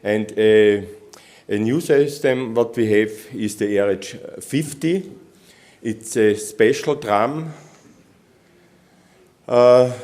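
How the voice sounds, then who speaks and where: A man from Austria, a native German speaker, giving a technical conference presentation in halting English with many restarts. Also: fairly low pitch, darkish tone. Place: conference hall.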